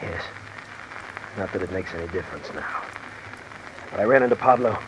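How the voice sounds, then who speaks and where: A man's voice: deep voice, lively intonation, brisk, a crowd in the background. An actor playing a nervous, suspicious man mixed up in a robbery, clipped and agitated, in 1950s radio drama style. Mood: agitated, accusing. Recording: muffled noisy vintage radio, rain behind.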